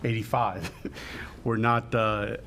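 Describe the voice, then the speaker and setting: A man from the Dominican Republic introduces himself as a new board member, humble and good-humored. His voice sits fairly low, with faint room noise behind. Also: fairly even intonation, slow, a bit bright.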